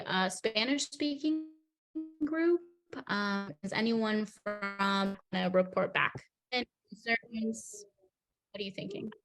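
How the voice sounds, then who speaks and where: A woman facilitating a group discussion, thoughtful and affirming, often pausing to search for words. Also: fairly high pitch, poor audio. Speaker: meeting facilitator.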